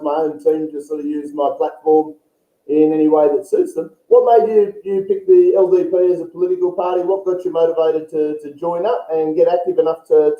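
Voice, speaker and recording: An Australian man hosts a casual interview in a friendly, blokey manner. His voice sits a little high. The sound is thin and phone-like, with a roomy echo. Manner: chatty, blokey, says mate often. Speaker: interviewer, show host.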